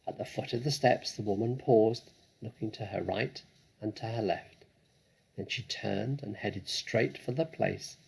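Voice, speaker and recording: A man narrating a children's story in a deliberate, expressive, dramatic way. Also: lowish voice, gravelly, breathy edge, low-quality recording. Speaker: storybook reader.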